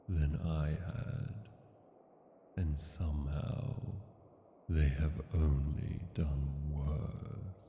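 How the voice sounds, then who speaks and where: A man playing a brooding, regretful dragon king in a fantasy audio roleplay, speaking in solemn, deliberate phrases with a deep, gravelly voice. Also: echoey room, long reverb tail, phone-quality sound, a little hiss or hum, heavily acted. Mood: bitter regret. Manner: slow, deliberate, solemn phrasing with long pauses. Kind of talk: scripted fantasy audio roleplay, intimate monologue.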